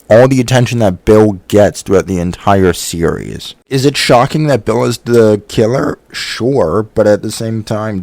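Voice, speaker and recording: Low-pitched man giving a dry-recorded review. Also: darkish tone, dry recording. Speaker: video reviewer. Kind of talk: opinionated video review commentary.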